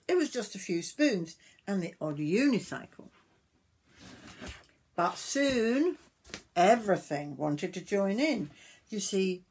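A woman in her sixties reading a picture book aloud to young children like a grandmother, expressively, with drawn-out words and little asides to the listener. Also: on the low side, a little mellow, husky. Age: 60s. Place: small wooden summerhouse.

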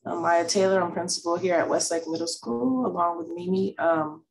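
A woman's voice: lowish voice, moderate pace, darkish tone, poor audio. A woman in her forties from California, a school principal, introducing herself steadily on a video call with a headset.

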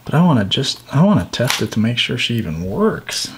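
A man's voice: mid-range voice, very animated, brisk, a little hiss or hum. A man hosting a DIY repair tutorial, explaining each step as he goes. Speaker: video host.

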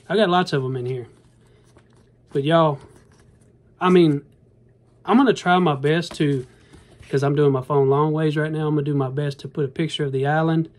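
A man's voice: a little high, darkish tone. Earnest rambling man, phone vlog. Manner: rambling, earnest, folksy, addresses viewers as y'all. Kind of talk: casual talk to camera. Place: indoor bedroom. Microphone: phone camera at arm's length.

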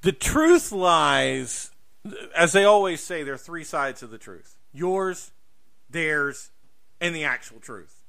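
Guy podcast host ranting his opinions, kinda high bright voice, swears, really emphatic. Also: very animated, slow pace, vocal fry. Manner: emphatic, swearing, repeats points for stress. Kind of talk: opinionated casual discussion.

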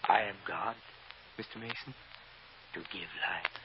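Man playing a halting, guilt-ridden father, muffled recording. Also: on the low side, natural voice. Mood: guarded, solemn. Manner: halting, repeats himself, formal wording. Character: pious, guilt-ridden father, anxious and stubborn. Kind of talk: old-time radio drama acting. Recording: dull, noisy old radio recording.

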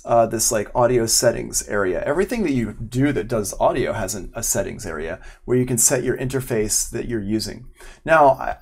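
Man, forties, mid-pitched voice, audio instructor, informal live tutorial, conversational, step-by-step explanations. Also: a little mellow. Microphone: external microphone at a desk, with headphones.

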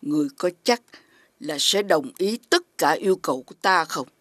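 A woman playing a menacing, scornful forest witch in an animated fairy tale, with an imperious, taunting delivery. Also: fairly low pitch, no room sound, clean studio sound. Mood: demanding. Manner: imperious, taunting, drawn-out threats.

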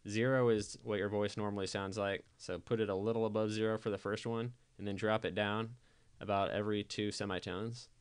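A man giving a casual software tutorial voice-over, explaining things informally step by step. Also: fairly low pitch, flat delivery, a little fast, hardly any pauses, smooth. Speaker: tutorial presenter.

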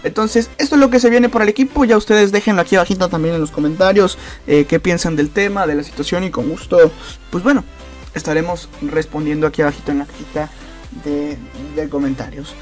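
A man's voice: on the high side, fairly even intonation, fairly quick. A man giving casual soccer news commentary as a channel host, rambling with many fillers and repeated phrases. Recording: voice-over with faint background music.

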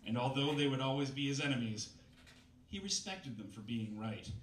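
A man's voice: medium pitch, lively intonation, normal speed. Guy in his 30s reading overwrought prose, mock serious, dry asides, picked up from far off in an echoey bistro. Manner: mock-dramatic reading, wry interjections. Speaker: reader at a comedy reading night. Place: small bistro stage with live audience. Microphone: stand mic, filmed from far camera. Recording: roomy, distant camera audio, faint noise.

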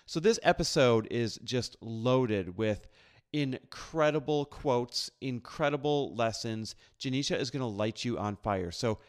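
A man's voice: medium pitch, unhurried. A man hosting a podcast, introducing his guest with enthusiastic praise and asking about her background. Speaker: podcast host. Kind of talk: upbeat podcast intro and interview.

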